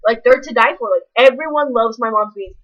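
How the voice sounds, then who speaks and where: A woman's voice: fairly high pitch, a little fast, a bit bright, dull audio. A young woman, ranting casually to camera in a blunt, rambling way full of swearing. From American South. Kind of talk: casual vlog rant.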